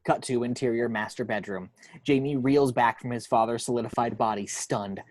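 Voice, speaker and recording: A man's voice: medium pitch, normal speed, no room sound. A man reading scripted action descriptions from a screenplay as narrator. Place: at home.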